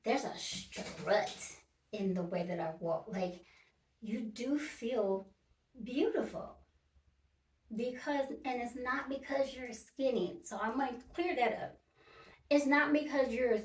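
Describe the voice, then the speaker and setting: A woman in her early fifties talking casually and directly to her viewers, emphatic and repeating her points. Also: on the high side. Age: 50s. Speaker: vlogger. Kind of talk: casual talk to camera. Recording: echoey room, mic at a distance.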